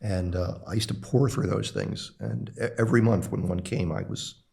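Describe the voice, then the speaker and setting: A man's voice: on the low side, steady tone, normal speed, vocal fry, mellow. An older man from the Philadelphia area, a national security expert, reminiscing as an interview guest with frequent ums and uhs. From Philadelphia area, Pennsylvania. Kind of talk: relaxed conversational interview.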